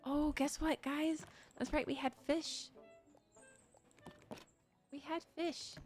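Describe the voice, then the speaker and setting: A woman's voice: high voice, fairly even intonation, slow pace, darkish tone, soft background music. A young woman streamer chatting casually with her viewers, giggly and talkative. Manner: giggly, chatty, talks to chat. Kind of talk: casual livestream chat.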